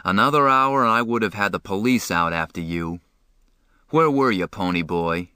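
A man reading an audiobook, voicing a harsh, exasperated older brother who scolds and shouts. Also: fairly low pitch, expressive, slow pace, clean tone, no room sound, clean studio sound, heavily acted. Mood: angry, exasperated.

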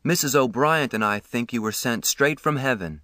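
A man doing a calm adult stranger. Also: mid-range voice, normal speed, dry recording, clean studio sound. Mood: reassuring. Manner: calm, reassuring. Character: kind adult male stranger in an ambulance.

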